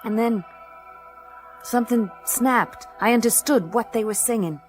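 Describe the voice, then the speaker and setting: A woman's voice: fairly high pitch, unhurried, faint music behind, close to an everyday voice. A woman playing a tough, blunt, sardonic space detective in a radio play, both narrating and speaking in dialogue with a dry delivery. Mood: haunted, wistful.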